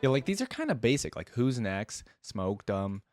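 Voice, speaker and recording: A man's voice: medium pitch, very animated, moderate pace, a little mellow, soft background music. A man giving casual reaction commentary as a host, rambling and thinking aloud.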